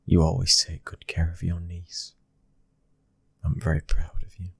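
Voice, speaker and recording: A man playing a gentle, devoted mafia husband and father with a British accent. His voice is extremely deep and very breathy, often sinking to a whisper. The delivery is even and intimate, in a soft ASMR roleplay style, recorded close and dry. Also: unhurried, no room sound, exaggerated voice. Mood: soothing, hushed.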